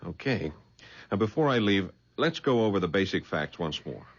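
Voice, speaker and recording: An actor playing an eager, wry young private investigator with an American accent and a snappy, inquisitive manner in a radio drama. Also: male voice, sits low, big pitch swings, moderate pace, slightly rough, heavily acted. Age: young adult. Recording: dull lo-fi 1970s radio recording.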